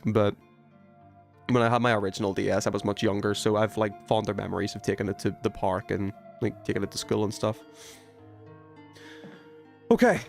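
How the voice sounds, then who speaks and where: A man's voice: on the low side, steady tone, a little fast, creaky, long pauses. Casual young man livestreaming over music. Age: 20s. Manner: rambling, informal, self-talking while playing. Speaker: video game streamer. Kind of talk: casual gaming livestream chat and commentary.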